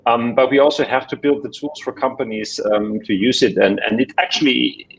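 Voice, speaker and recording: A man with a German accent on an online panel, talking in halting phrases full of restarts and tag questions. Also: medium pitch, dull audio, slight background noise. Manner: hesitant, many restarts and "right?" tags. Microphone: video call.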